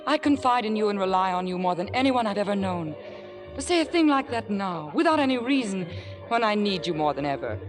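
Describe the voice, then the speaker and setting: A woman's voice: medium pitch, animated, fairly quick, natural voice. A woman acting a coolly manipulative, ambitious young actress in a vintage radio drama. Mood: smooth, pleading. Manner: silky, calculating, theatrical. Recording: old radio broadcast with background music.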